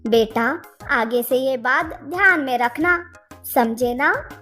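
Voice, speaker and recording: Woman as high-pitched anxious mother camel. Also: slow pace, bright, forceful tone, heavily acted. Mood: tender, advising. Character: worried, loving mother camel scolding and praising her calf. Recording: faint music under the voice.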